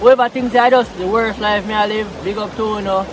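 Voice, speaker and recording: A man's voice: sits high, steady tone, a little fast, noisy background. A man from Jamaica with a Jamaican Patois accent, chatting casually in a vlog by a river and mixing Patois into his English. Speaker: vlogger. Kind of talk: casual vlog talk outdoors. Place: outdoors by a river.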